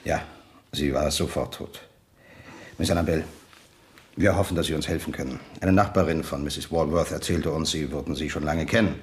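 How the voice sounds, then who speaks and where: An actor playing a polite, matter-of-fact police sergeant in a radio crime drama, measured and official. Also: male voice, extremely low pitch, fairly even intonation. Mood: grave, factual.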